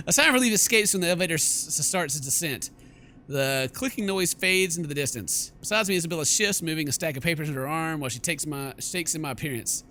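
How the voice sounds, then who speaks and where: A man's voice: a little high, lively intonation, fairly quick, pushed and bright, a little hiss or hum. A man doing playful, theatrical let's play commentary, exclaiming and reading game text aloud into a close mic. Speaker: gaming video host. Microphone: close microphone at a desk. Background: faint game music.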